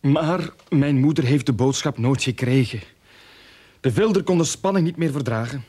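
An actor playing a nervous, hesitant bank embezzler in a radio drama. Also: male voice, medium pitch, normal speed, warm tone, slightly rough, lightly acted. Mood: uneasy explaining. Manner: hesitant, stammering under pressure.

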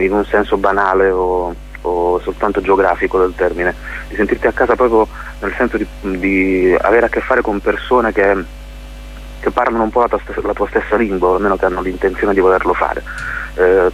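Deep-voiced man, thoughtful and halting, muffled recording. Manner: thoughtful, halting, searching for words. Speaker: interview guest, playwright. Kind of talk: reflective radio interview talk. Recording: muffled radio interview with hum and hiss.

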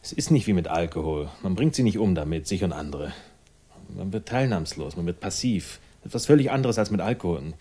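An actor playing a brooding, sardonic detective who narrates and speaks in a 1960s German radio crime drama. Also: male voice, low-pitched, lively intonation, a little fast, dark tone, breathy edge, heavily acted. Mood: agitated, bitter. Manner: laconic, sardonic. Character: dry, brooding hard-boiled male detective, defensive about a lost woman. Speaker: narrator and lead character.

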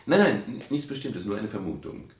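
An actor playing a courteous, dryly ironic private detective who also narrates, in a German radio crime play from the 1970s. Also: male voice, on the low side, very animated, fairly quick, breathy edge, full character voice. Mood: polite, hesitant. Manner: hesitant, polite, dryly ironic. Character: wry, courteous male private detective, world-weary but soft-hearted.

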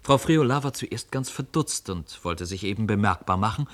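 A man narrating a literary radio play as an expressive, measured storyteller. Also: lowish voice.

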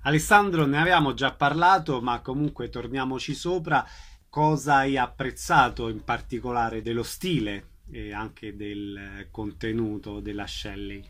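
A man's voice: medium pitch, moderate pace, a bit bright. A man hosting a conversational interview, putting questions to his guest. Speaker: interviewer, host.

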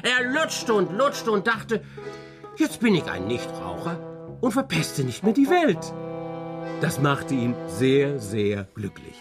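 Whimsical old grandpa, wildly swinging intonation, grumbling asides, children's radio play, music behind. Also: male voice, high voice, heavily acted. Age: older. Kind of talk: radio play acting, theatrical storytelling.

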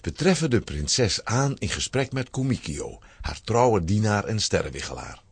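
A man's voice: fairly low pitch, very animated, moderate pace, husky, no echo. A man narrating a scripted children's story in a dramatic storytelling manner.

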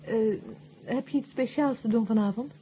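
A woman's voice: fairly high pitch, normal speed, muffled recording, a little hiss or hum, close to an everyday voice. A woman acting in a 1970 radio drama as an obliging, anxious hostess, speaking politely on an old recording. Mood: hospitable, slightly anxious. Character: Helen, obliging, anxious, hospitable sister-in-law.

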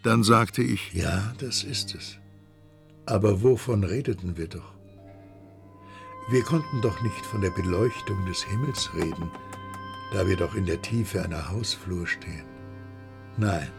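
Radio drama lead: brooding, self-questioning narrator, lowish voice, soft music underneath. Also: male voice, measured pace, gravelly, pauses often. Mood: earnest, polite. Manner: introspective, talking to himself. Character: anxious, brooding first-person male storyteller. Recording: music behind the voice.

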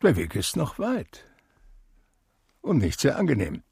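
An actor playing a grave, sinister doctor in a German radio drama, speaking in a measured, solemn way. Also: male voice, medium pitch, wildly swooping pitch, vocal fry, darkish tone, husky, no room sound, studio quality, full character voice. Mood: grave, questioning. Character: grave, sinister male doctor guiding a patient to death.

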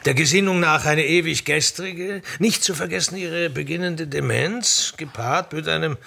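Casting: sardonic, polite household companion, radio drama, highish male voice, husky and creaky, formal delivery. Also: normal speed, bright, forceful tone, heavily acted. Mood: dry, sardonic. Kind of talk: German radio play acting.

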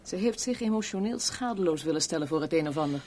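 A woman's voice: on the low side, animated, fast, a little mellow. An actress playing a worldly, sardonic kept woman in a 1970s radio play, with a wry, teasing, blunt way of talking. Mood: wry, bored.

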